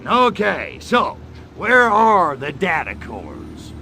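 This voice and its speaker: A man's voice: a little high, wildly swooping pitch, slow pace, gravelly, background noise, heavily acted. A man playing a cocky, wisecracking little alien invader in a video game, with a sardonic tough-guy manner. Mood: sardonic, grumbling. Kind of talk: video game cutscene acting.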